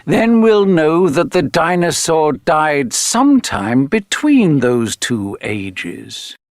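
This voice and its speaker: Man acting male paleontologist, storybook character, informative, calm, slow delivery, dry sound. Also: a little high, no echo, pitched above the performer's own voice, full character voice. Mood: explanatory. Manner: measured, explanatory.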